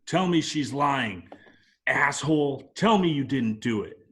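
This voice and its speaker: Slow, cocky space outlaw played by a man. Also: medium pitch, animated, a bit bright. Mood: angry demanding. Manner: taunting, insistent, drawn-out demands. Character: cocky male space outlaw turned anguished and enraged. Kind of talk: amateur table read of a film script.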